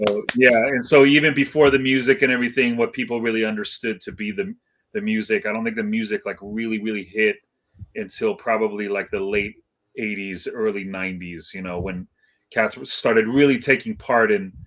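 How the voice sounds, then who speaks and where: Laid-back lower-voiced man from Iceland. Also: steady tone, fairly quick, fairly bright tone. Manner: laid-back, modest, many fillers and restarts. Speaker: guest DJ. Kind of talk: casual interview chat.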